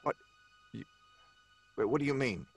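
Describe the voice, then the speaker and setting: A man's voice: mid-range voice, moderate pace, creaky, husky, dry recording, soft background music. An actor playing an irritable, frazzled male writer in an old time radio drama, blustering and stammering with exasperation. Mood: nervous, dramatic.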